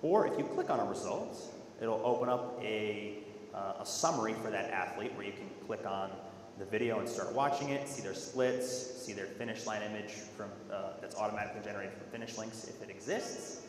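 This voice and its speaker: Low-voiced man presenting in an echoey hall. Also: a little fast. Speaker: software presenter. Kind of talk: conference product presentation. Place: large dark conference auditorium, at a podium. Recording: echoey hall with faint noise.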